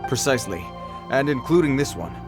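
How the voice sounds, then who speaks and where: Guy doing a dutiful game guard, formal and earnest, mid pitched, music behind him. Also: lively intonation, brisk, lightly acted. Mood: concerned, flustered. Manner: formal, stiffly polite, earnest. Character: dutiful, earnest adult male city guard, awkwardly formal. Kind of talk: animated video game voice acting.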